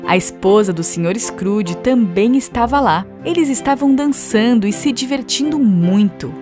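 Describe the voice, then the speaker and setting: A woman's voice: fairly high pitch, animated, normal speed. A woman with a Brazilian accent narrating a scripted children's story. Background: background music.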